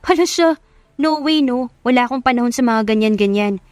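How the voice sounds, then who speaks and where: A woman narrator plays a young Filipina heroine of an audio love story. She is easily flustered but feisty and defensive, with a fairly high voice in a dry recording. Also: a little fast, a little mellow, no echo, lightly acted. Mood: sassy, dismissive.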